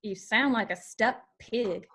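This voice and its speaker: Guarded young female country singer with dry wit, read by an actress, higher register, unhurried delivery. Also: pushed and bright, husky, exaggerated voice. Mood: exasperated, dry. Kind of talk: screenplay table read, with some soft singing.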